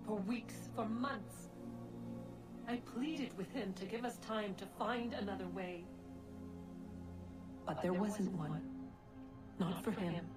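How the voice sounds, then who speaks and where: An ancient, sorrowful female being performed by a woman delivers a video game monologue at a very slow, measured pace. Her phrasing is solemn and dramatic, with pauses, and music plays clearly behind her. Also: medium pitch, natural voice. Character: grieving, solemn ancient goddess-like being recounting a lost past. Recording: music under the voice. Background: game score.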